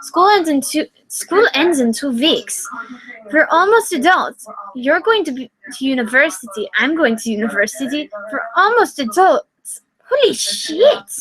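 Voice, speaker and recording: Field: figure. Value: a woman doing a loud teen boy in a fake British accent. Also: high voice, very animated, moderate pace, voice pushed up, rough recording. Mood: panicked. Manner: boisterous, cooing. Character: loud obnoxious teenage boy prankster.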